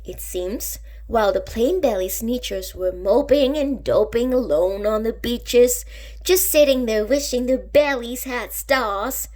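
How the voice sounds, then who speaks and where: A woman reading a rhyming picture book aloud for children, with expressive, dramatic emphasis. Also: fairly high pitch. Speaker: children's storybook reader.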